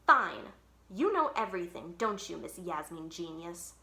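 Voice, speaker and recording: A woman voicing an excitable, pert young schoolgirl in a children's storybook, speaking with emphasis. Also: lowish voice, unhurried, exaggerated voice. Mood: hurt, sarcastic. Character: young schoolgirl friend, excitable, quick to retort.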